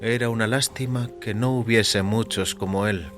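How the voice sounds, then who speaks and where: A man's voice: lowish voice, steady tone, normal speed. A man narrating a scripted short story for an audio fiction show. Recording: music under the voice. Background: music.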